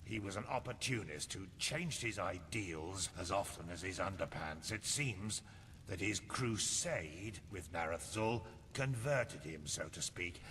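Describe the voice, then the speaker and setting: An actor playing a scornful officer of a holy order in a fantasy game, formal and pointed in delivery. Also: male voice, expressive, measured pace, bright, forceful tone, full character voice. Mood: disdainful. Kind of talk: cinematic fantasy game voice acting. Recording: reverberant hall, faint rumbling noise.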